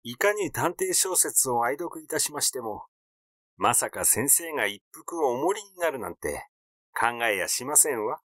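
A man's voice: medium pitch, very animated, unhurried, a bit bright, dry recording, clean studio sound. A man voicing a composed married lady in a story reading, polite and formal yet pointed. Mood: cool, pointed.

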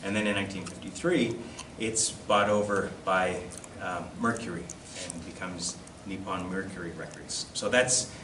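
A man with a lowish voice gives an informal presentation, picking his way haltingly through the material. He is picked up from across an echoey room, with clear noise behind him. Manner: hesitant, halting delivery with self-deprecating asides. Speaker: presenter at a collectors' society. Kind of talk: informal slide-show lecture. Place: classroom, indoors. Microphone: camera across the room. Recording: distant mic, echoey room, loud background noise.

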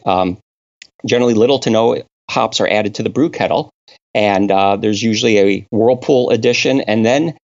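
A man from Connecticut explains brewing science in a guest interview. His low voice has a gravelly, fried edge, and he talks haltingly, stopping and restarting. The sound is dull and lo-fi. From Connecticut, New England. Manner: halting, many uh fillers and restarts. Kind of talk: technical interview.

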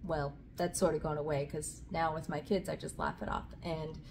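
A woman's voice: sits low, little pitch movement, moderate pace. A woman in her thirties hosting a children's read-aloud, reading storybooks and chatting casually, recorded indoors on a camera at about arm's length. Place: small indoor room with curtain backdrop. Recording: clear background room noise.

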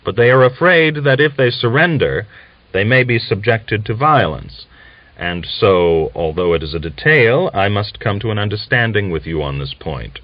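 Man acting anxious educated officer, low-pitched, emphatic, formal, audiobook character, close dry sound. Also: animated, moderate pace, no echo, natural voice. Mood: earnest, formal. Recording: dull lo-fi audiobook recording.

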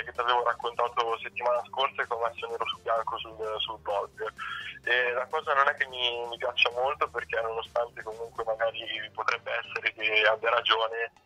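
Sharp, bright man on muffled line. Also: medium pitch. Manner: halting, repeats words as he goes. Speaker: political commentator and blogger. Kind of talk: casual political commentary. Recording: muffled phone-like line, music underneath.

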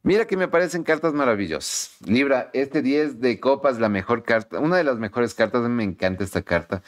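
A middle-aged man reads tarot cards straight to the camera, recorded close up in his home study. His voice sits at an ordinary, mid-range pitch. He talks in an emphatic, repetitive way, piling up phrases like a preacher cheering someone on. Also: animated, fairly quick. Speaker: tarot reader. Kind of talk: warm encouraging tarot reading to camera. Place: home study with bookshelves, indoors.

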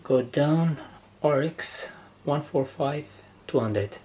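A man giving a casual, halting step-by-step demonstration of equipment in short phrases, as a hobbyist. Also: fairly high pitch, mellow. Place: indoor room with wooden surface. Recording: noisy handheld camera recording indoors.

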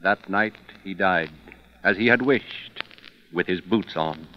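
A man giving measured, dramatic scripted narration in 1930s radio style. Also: deep voice, a little hiss or hum. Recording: muffled 1930s radio recording.

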